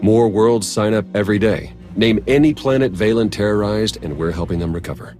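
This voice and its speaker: Actor as deep-voiced, wry male spy. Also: brisk, lightly acted. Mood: wry, upbeat. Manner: dry, wry, informal. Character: wry, casual male spy advising his commander. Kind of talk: video game cutscene acting. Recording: loud music under dialogue.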